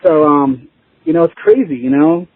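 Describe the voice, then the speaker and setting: A man's voice: on the high side, big pitch swings, moderate pace, dry recording. A man being interviewed as a band drummer, chatting casually in a rambling way with lots of "you know," over a lo-fi call. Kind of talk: casual chat. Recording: lo-fi phone-like line.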